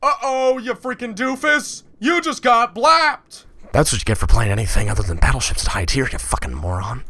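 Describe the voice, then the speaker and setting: A man's voice: high-pitched, expressive, normal speed, sharp tone, voice pushed up, full character voice. A man doing a jeering, squealing toxic teammate. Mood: mocking. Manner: mocking, squealing then sneering, profane. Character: jeering toxic male teammate taunting a player.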